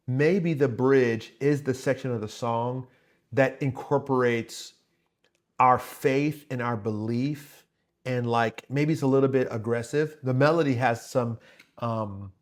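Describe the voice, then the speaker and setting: A man hosting a casual songwriting tutorial, talking to viewers in a conversational, encouraging and explanatory way. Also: mid-range voice. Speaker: host, worship songwriting teacher.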